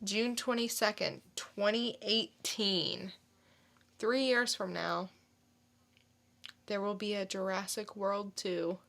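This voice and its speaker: A woman's voice: medium pitch, steady tone, slow pace, vocal fry, long pauses, fairly bright tone. A woman co-hosting a podcast, talking casually and giving informal recaps. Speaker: podcast co-host. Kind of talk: casual chat and informal review.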